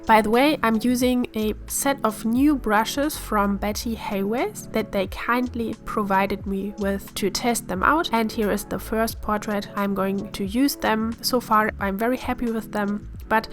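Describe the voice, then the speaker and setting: A young woman with a hoarse voice from a cold, calmly explaining a painting tutorial step by step in a voice-over. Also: mid-range voice. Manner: calm, step-by-step instructive. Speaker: art tutorial host. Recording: voice-over with faint music behind.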